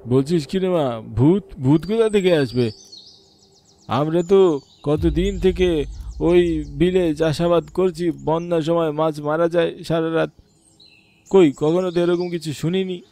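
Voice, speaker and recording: A man's voice: on the high side, measured pace, pauses often, dark tone. A man voicing a kindly older village headman in a cartoon, fatherly and folksy. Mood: disbelieving. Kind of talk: cartoon voice acting.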